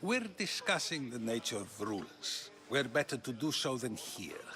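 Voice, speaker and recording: An actor playing a playful ancient Greek philosopher in a video game, teasing and arguing through questions. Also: male voice, mid-range voice, very animated, moderate pace, bright, forceful tone. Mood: playful, probing. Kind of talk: dramatic video game dialogue.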